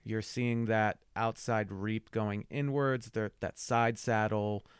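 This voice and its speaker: Lowish, smooth-voiced man on a podcast. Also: fairly even intonation, slow, dry recording, studio quality. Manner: swears casually, teasing. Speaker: podcast co-host. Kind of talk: casual podcast chat.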